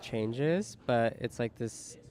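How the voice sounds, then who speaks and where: A man's voice: medium pitch, lively intonation, slow, darkish tone. A man giving casual interview answers, informal and tentative in how he words them.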